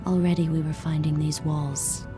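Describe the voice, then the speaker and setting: A woman narrating as a tired, guarded young woman, quiet and reflective. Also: low-pitched, flat delivery, normal speed, lightly acted. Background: music.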